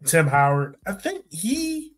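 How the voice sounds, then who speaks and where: A man's voice: high-pitched, sing-song, slow pace, fairly bright tone, soft background music. A man hosting a soccer podcast, telling sports stories in an informal, conversational way and checking in with his listener. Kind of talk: casual conversational sports storytelling.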